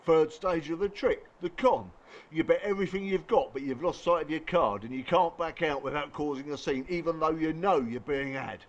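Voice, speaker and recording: A man's voice: on the high side, moderate pace, muffled recording, close to an everyday voice. An actor playing a blunt, streetwise male friend in a radio play, sardonic and challenging in colloquial speech.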